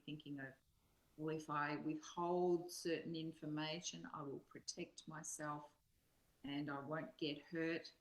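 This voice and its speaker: A woman in reflective personal discussion, explaining her thoughts in an earnest, thoughtful way. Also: low-pitched. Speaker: study group co-host. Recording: roomy, echoing sound.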